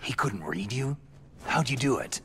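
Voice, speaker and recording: An actor playing a gruff, terse soldier in a video game, with a hushed, demanding, gravelly delivery. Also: male voice, mid-range voice, big pitch swings, measured pace, exaggerated voice. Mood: surprised, probing. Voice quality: gravelly, husky. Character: gruff, terse male covert soldier, hard-nosed interrogator.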